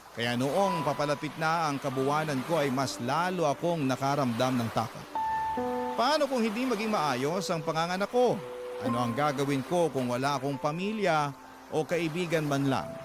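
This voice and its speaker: Man narrating a letter over music. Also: on the high side. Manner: dramatic first-person letter reading. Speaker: radio drama narrator. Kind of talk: reading a listener's letter aloud. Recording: music bed behind the voice.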